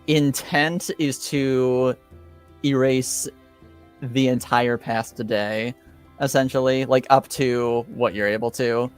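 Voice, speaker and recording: A game master narrating a tabletop roleplaying session in a hesitant, halting way, searching for words. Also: medium pitch, fairly even intonation, clean tone, faint music behind.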